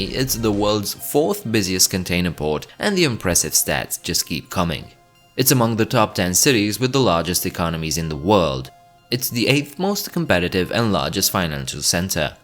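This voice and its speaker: Man, low voice, animated intonation, scripted educational narration, casual asides to viewer. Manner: chatty asides to the viewer between facts. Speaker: channel host.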